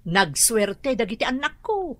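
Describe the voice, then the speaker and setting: A woman's voice: on the high side, sing-song, a little slow, a bit bright. An actress in a radio drama playing a boastful neighbor who brags about her children.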